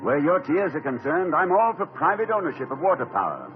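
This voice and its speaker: A genteel, playful college president in a vintage radio play, a gentle daydreamer who chuckles softly. His voice is lively and swoops up and down. Music plays clearly under the dull, muffled old broadcast sound. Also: a little high, a little fast. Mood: tender. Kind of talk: 1950s radio drama acting.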